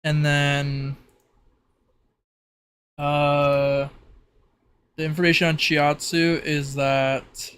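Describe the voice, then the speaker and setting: A man improvising narration as game master of a tabletop roleplay, speaking haltingly with frequent pauses. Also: on the high side, completely flat delivery, a bit bright, clear, resonant tone.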